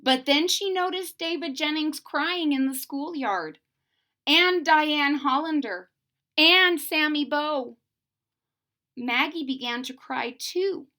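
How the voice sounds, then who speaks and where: A woman reading a picture book aloud to children in a dramatic way, pausing to put questions to young listeners. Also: high voice, sharp tone.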